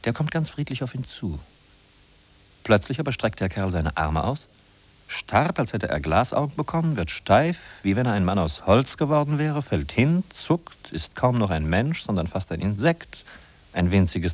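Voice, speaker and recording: An actor playing a sardonic narrator in a 1950s German radio play, ironic, declamatory and emphatic. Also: male voice, lowish voice, big pitch swings, moderate pace, darkish tone. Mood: grim, pointed. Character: sardonic male narrator of a bleak big-city inventory. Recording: husky-sounding 1950s radio recording from cassette.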